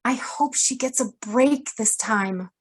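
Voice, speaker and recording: A woman playing a shrewd, wry murderess with a secret past in a noir radio drama, speaking in a measured, knowing way. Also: fairly high pitch, breathy edge. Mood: sorrowful, concerned.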